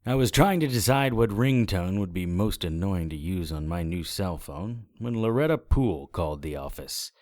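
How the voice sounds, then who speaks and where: An actor playing a tough, wry private eye in a noir audio drama, with a deadpan delivery. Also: male voice, low-pitched, moderate pace, hardly any pauses, dry recording, clean studio sound.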